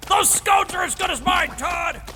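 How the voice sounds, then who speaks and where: Male adventurer for audio drama: cocky, dim, bombastic, very high bright shout, cavernous reverb. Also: exaggerated voice. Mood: gleeful, rallying. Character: blustering, reckless adventurer man, cocky but dim. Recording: long cavernous reverb, music underneath.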